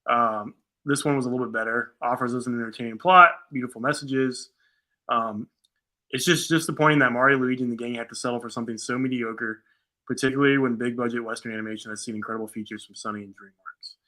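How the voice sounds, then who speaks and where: A man's voice: mid-range voice, fairly even intonation, quick pace, bright, forceful tone. An American man co-hosting a show in casual chat, reading things out and reacting in informal, slangy asides. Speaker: show co-host.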